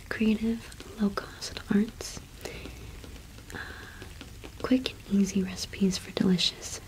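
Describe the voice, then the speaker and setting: A woman in her twenties doing an ASMR roleplay as a cottage witch shopkeeper, speaking softly and unhurriedly with hesitant pauses, close to the microphone. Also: medium pitch, rough recording. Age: 20s. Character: friendly solitary cottage witch keeping a small shop.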